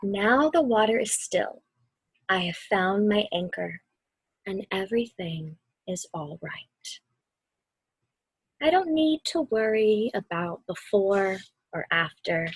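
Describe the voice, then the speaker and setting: Casting: woman, very breathy and soft, slightly high voice, gentle meditation guide for children, close dry sound. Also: expressive, dry recording, low-quality recording. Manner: soothing, unhurried, softly spoken. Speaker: mindfulness guide reading a children's book aloud.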